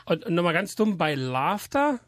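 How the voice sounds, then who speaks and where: A man's voice: high-pitched, very animated, moderate pace, a bit bright, no echo. A man co-hosting a casual podcast chat, asking curious, playful questions about pronunciation. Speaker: co-host.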